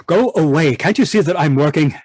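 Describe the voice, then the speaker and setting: A man's voice: a little high, a little fast, a little mellow, husky, no room sound. An actor playing a stern, irritable husband obsessed with his work, imperious and impatient, in old-time radio drama style. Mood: brooding. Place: live video call performance. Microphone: video call microphone.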